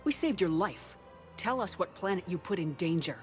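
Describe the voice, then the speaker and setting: A woman playing a steady, firm military commander in a video game, direct and controlled. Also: on the low side, normal speed, close to an everyday voice. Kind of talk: cinematic video game acting. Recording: game music underneath.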